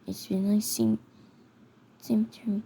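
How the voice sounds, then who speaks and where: A speaker narrating a homemade scenario video in a halting way, often repeating words. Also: mid-range voice, level tone, creaky, warm tone. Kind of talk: amateur narration of a mock emergency alert scenario video. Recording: noticeable background noise.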